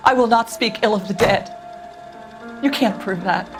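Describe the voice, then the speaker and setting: Woman acting prim housekeeper, mid-pitched, hesitant, evasive, game drama, clear music underneath. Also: moderate pace, lightly acted. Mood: guarded, evasive. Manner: hesitant, evasive, primly proper. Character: guarded, flustered female housekeeper, proper yet gossipy. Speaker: domestic worker. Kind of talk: video game cutscene acting.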